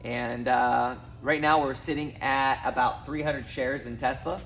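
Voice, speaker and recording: A man's voice: medium pitch, steady tone, a little slow, fairly bright tone, soft background music. A man in his thirties from Southwest Missouri, talking casually to camera as a finance YouTuber, rambling with many ums and restarts. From Southwest Missouri, USA. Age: 30s. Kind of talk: casual talking-head vlog.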